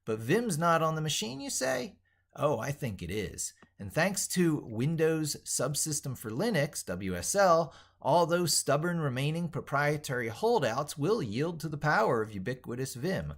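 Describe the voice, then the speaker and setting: A man's voice: fairly high pitch, very animated, moderate pace. A man delivering an opinionated tech monologue in an emphatic, declarative way, reworking and repeating his phrases as he drafts them aloud. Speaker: tech educator presenter.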